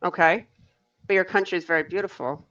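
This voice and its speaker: A woman with a North American accent, explaining things to a learner in casual conversation, in measured phrases. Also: fairly low pitch, fairly bright tone. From Canada and the northeastern US. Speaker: conversation partner. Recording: dull lo-fi online call audio.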